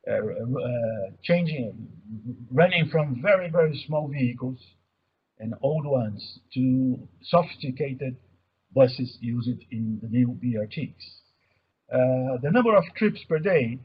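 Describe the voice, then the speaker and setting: Husky Brazilian man presenting, muffled audio. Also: mid-range voice, expressive. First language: Portuguese. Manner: halting, repeats words while searching for phrases. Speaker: webinar presenter, mobility observatory coordinator. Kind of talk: explanatory presentation. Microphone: online webinar connection. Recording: dull, muffled webinar audio.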